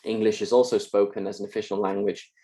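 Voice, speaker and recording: A man, a university linguistics lecturer, explaining ideas in a measured, calm lecture style. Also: fairly low pitch. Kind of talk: scripted mock lecture.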